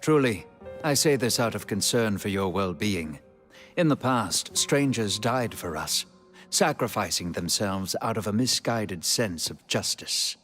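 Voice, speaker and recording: Polite dutiful game elf, self-effacing, on the low side, score playing behind him. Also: male voice, lively intonation, fairly bright tone, faint music behind. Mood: solemn. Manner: formal, polite, measured. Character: dutiful, self-effacing male elf bioorganism, loyal scout servant. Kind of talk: cinematic video game acting.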